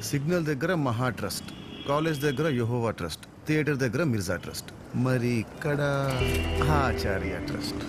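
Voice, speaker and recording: An actor playing a smooth-talking, cheeky young male con artist in a film drama, with glib, philosophical patter. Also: medium pitch, animated, brisk, close to an everyday voice. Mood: smug. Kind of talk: Telugu film dialogue. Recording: loud film music under dialogue. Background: loud film score.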